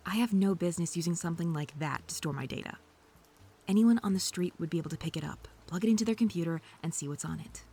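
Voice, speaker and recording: A woman's voice: fairly low pitch, fast talker, dark tone, breathy edge. A woman playing a snarky, defensive female hacker under interrogation in an audio drama, sarcastic and indignant. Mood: flustered, defensive.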